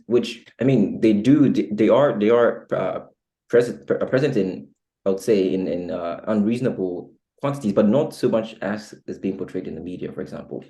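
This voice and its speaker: Male guest, Ghanaian accent, low-pitched and dark-toned, measured, diplomatic, conversational. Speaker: interview guest. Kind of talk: casual conversation.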